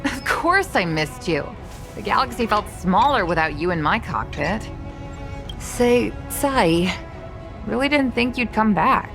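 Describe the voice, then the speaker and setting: A woman's voice: medium pitch, lively intonation, unhurried. A woman voicing a breezy, teasing young star pilot bantering casually in a video game. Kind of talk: English game dub, bantering dialogue. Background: music.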